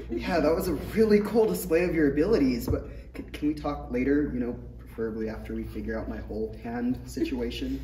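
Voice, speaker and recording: A man's voice: medium pitch, fairly quick, dark tone, close to an everyday voice. A man playing a casual, wry young apprentice knight in a comedy table read. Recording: echoey room, distant mic, faint noise.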